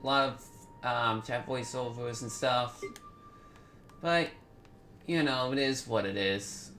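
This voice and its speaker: Bright-voiced man casually commentating gameplay. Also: mid-range voice, faint music behind. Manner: halting, thinking aloud. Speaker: gaming video host.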